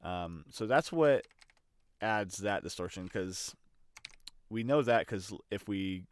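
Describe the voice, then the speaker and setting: A man's voice: fairly low pitch, slow pace, smooth, no echo. A man giving a casual, explanatory screen demonstration, thinking aloud in a hesitant way as he presents an audio production tutorial.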